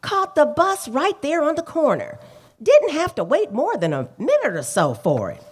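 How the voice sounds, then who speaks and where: A woman's voice: high voice, huge pitch swings, normal speed. A woman playing a feisty, talkative and opinionated witness in a radio police drama, speaking in emphatic, clipped sentences. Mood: matter-of-fact, chatty. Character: feisty, talkative female check-forgery witness, indignant and opinionated. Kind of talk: live radio play acting, police drama.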